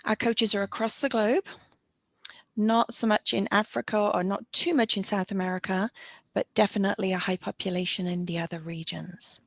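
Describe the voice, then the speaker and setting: A woman's voice: medium pitch, fairly even intonation, normal speed, vocal fry, no echo. A middle-aged woman hosting a webinar, explaining things in a businesslike, presentational way. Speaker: webinar host and coaching company founder. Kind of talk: webinar presentation, interview format.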